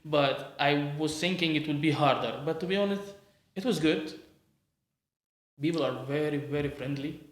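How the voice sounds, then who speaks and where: A man's voice: on the high side, moderate pace. An Egyptian man with an Egyptian Arabic accent, a native Arabic speaker hosting a podcast in casual, sociable conversation. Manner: chatty and sociable, laughs easily. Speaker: podcast host. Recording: echoey room.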